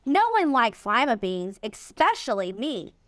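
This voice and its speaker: A woman's voice: a little high, big pitch swings, measured pace, fairly bright tone, no room sound, studio quality. A woman reading the part of a stubborn young schoolgirl in a storybook, plaintive and emphatic.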